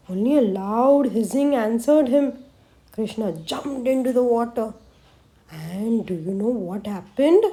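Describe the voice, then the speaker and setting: Slow-paced woman reading a children's storybook. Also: medium pitch. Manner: expressive, simple phrasing with dramatic pauses and questions to young listeners. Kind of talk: children's storybook read-aloud narration.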